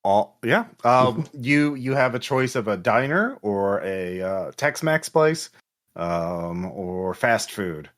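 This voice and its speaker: Halting man running a tabletop game. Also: lowish voice, animated, a bit bright. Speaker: game master. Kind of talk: improvised tabletop game narration.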